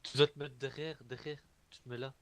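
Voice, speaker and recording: A man's voice: medium pitch, level tone, fairly quick, over a noisy crowd. A man playing an online game, chatting casually and slipping into improvised roleplay.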